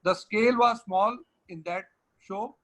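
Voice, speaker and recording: A man's voice: sits high, measured pace, fairly bright tone. A man with an Indian English accent hosting an online webinar, explaining a virtual trade fair and giving directions to a colleague. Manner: directs a colleague, switches between English and Hindi. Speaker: webinar host from the organizing body.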